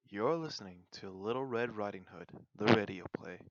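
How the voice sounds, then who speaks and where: A man's voice: fairly low pitch, no room sound. A man announcing cast credits for a radio play in a scripted read, recorded with dull sound. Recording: dull, noisy recording with clicks.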